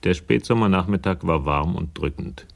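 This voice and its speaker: A man's voice: low voice, moderate pace, creaky, slight background noise. A male actor narrating a German radio crime drama in a detached, dry, literary storytelling style.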